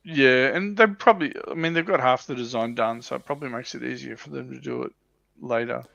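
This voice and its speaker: Creaky-voiced man in casual chat. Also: mid-range voice, brisk, a bit bright, no echo. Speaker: livestream panel member.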